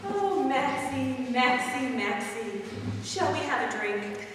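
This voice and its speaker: A woman's voice: high voice, expressive, slow, fairly bright tone, a little hiss or hum, full character voice. A woman playing a suave, commanding crime boss in a noir radio parody, arch and theatrical with polite menace. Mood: theatrical toasting. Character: scheming female villain boss, suave and commanding. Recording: echoey hall recording from far off.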